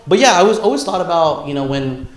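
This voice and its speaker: A man's voice: on the high side, expressive, fast talker. A young man co-hosting a podcast with friends, chatting casually and crudely in slangy, bro-heavy talk. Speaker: podcast co-host. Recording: roomy, echoing indoor sound.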